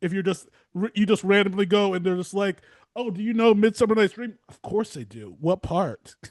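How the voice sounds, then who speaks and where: Male podcast host, high voice, dry close sound, loose slangy chat. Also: moderate pace, dry recording. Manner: rambling, informal, slangy. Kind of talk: casual interview chat.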